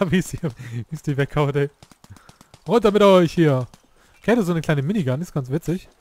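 Hoarse excitable gamer guy. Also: on the high side, moderate pace, no echo. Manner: excitable, swears, exclaims at the game. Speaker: let's play youtuber. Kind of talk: casual gameplay commentary.

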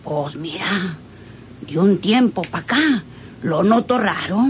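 An actress with a Mexican accent playing a cheeky, gossipy country servant in a radio drama. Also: female voice, lowish voice, lively intonation, takes it slowly, a little hiss or hum.

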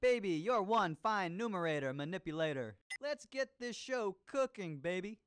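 A swooping, groovy disco chef. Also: male voice, high voice, animated. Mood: groovy, upbeat. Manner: hammy, swinging, drawn-out delivery. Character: groovy disco cooking show host, upbeat adult man. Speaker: game show host. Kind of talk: cartoon game voice-over with 1970s disco slang.

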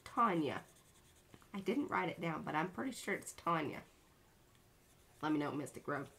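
A woman's voice: low voice, expressive, normal speed, creaky, fairly bright tone. A woman in her thirties, co-hosting an art livestream from a small home studio, chatting bubbly and playfully as she greets viewers by name.

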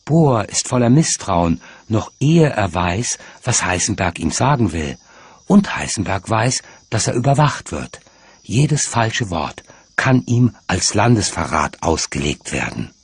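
A man's voice: lowish voice, very animated, unhurried, breathy edge, dry recording. A man reading scripted narration for a documentary. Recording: music bed under the voice. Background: music.

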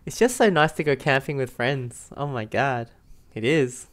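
A young man giving casual, relaxed gameplay commentary as a streamer, in a loose, repetitive chatty way. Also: medium pitch, moderate pace. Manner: relaxed, giggly, repeats himself. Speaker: game streamer. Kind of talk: casual reaction commentary while playing.

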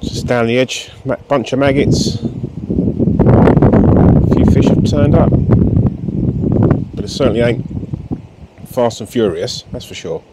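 A man's voice: medium pitch, slow, long pauses. A man in his thirties gives casual, self-deprecating running commentary to the camera while fishing at a lakeside. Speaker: match angler and channel host. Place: outdoors at a lakeside fishery, breezy countryside. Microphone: action camera mounted beside him. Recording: loud wind and outdoor noise on a camera mic.

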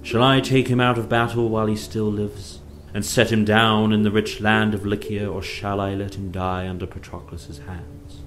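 A man's voice: fairly low pitch, little pitch movement, moderate pace, few pauses, a bit bright, faint music behind, natural voice. A man voicing a troubled king of the gods, grave and pondering, in an epic tale. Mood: grave, torn.

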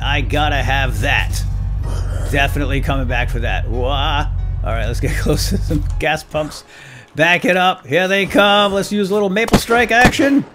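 A man giving casual let's-play commentary, playfully talking to himself with mild folksy exclamations. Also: a little high. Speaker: gaming YouTuber, let's-play host.

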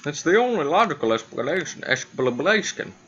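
A man's voice: a little high, very animated, a little fast, fairly bright tone, muffled recording. A man giving casual, rambling gameplay commentary, muttering reactions to himself as he plays. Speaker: gaming video host. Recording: clearly noisy home recording.

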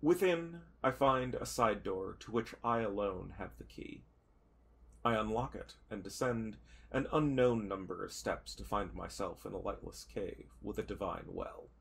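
A man narrating a tabletop roleplay character in the first person, with literary, deliberate phrasing. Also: fairly low pitch, vocal fry, fairly bright tone, husky.

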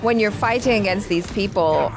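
A woman's voice: medium pitch, moderate pace, rough recording. Female reaction co-host, medium register, blunt slangy chat, game soundtrack audible underneath. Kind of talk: casual reaction commentary to game cutscenes. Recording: loud game soundtrack behind. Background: video game music and sound.

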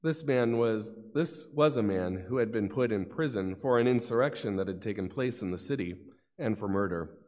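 A man's voice: on the low side, expressive, moderate pace, darkish tone. A middle-aged man solemnly reading scripture as narrator at a lectern. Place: large church, at lectern. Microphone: lectern gooseneck microphone. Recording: long church reverb.

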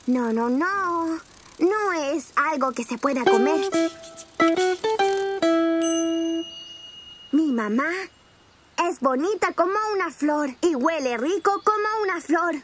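An actor voicing a cheerful young boy rabbit in a children's cartoon, explaining things simply and clearly. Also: high voice, animated, unhurried. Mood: earnest. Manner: clear, simple, instructive delivery for small children. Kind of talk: children's cartoon dub, drawing instruction. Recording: loud music under the voice. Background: children's cartoon music.

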